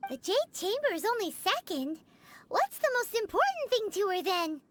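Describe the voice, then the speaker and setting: A woman voicing a small, excitable, chatty fairy companion in a video game, with a squeaky childlike voice. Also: normal speed, a little hiss or hum. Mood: earnest, inquisitive. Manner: speaks of self in third person, exclamatory. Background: game music.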